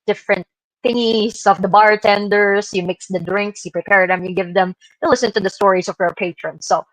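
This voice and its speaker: A woman's voice: medium pitch, steady tone, fairly quick, no echo, poor audio. A woman presenting informally to co-hosts on an online show, in a hesitant, conversational way. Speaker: podcast presenter. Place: online live stream call.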